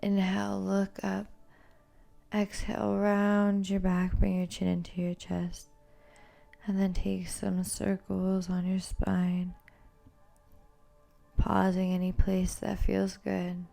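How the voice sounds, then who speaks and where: Slow, low, gravelly-edged woman guiding yoga. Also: faint music behind. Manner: calm, soothing, gently cueing. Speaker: yoga instructor. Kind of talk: guided yoga and meditation instruction.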